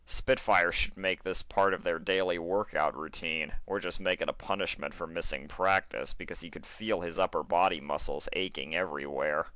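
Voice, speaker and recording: A man's voice: deep voice, steady tone, normal speed, hardly any pauses, pushed and bright, no echo. A man narrating a fan fiction story in a dramatic, scripted reading style.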